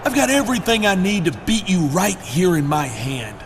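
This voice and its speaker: A man's voice: high voice, very animated, moderate pace. An actor voicing a smug, menacing male wrestler who taunts sarcastically in a video game cutscene. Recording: clear background noise.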